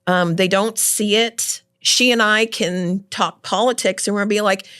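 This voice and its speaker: Loud, animated middle-aged woman guest. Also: mid-range voice, measured pace, smooth. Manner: loud, animated, laughs often. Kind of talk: casual interview chat.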